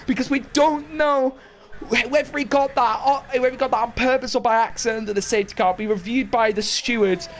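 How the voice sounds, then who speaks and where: A sim racing commentator calling a live race, excitable and openly cheering a favourite driver, voice going hoarse. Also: medium pitch, brisk, slight background noise. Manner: rooting loudly for a favourite driver. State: losing their voice.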